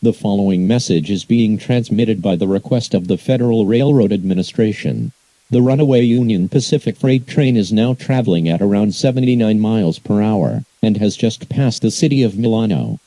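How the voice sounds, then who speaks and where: Very dark-toned male emergency alert announcer. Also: on the low side, expressive, normal speed, no echo, poor audio.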